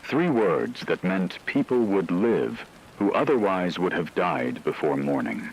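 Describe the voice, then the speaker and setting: A man reading a scripted emergency radio broadcast in a grave, measured, formal manner. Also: low-pitched, big pitch swings, creaky, slightly rough, low-quality recording.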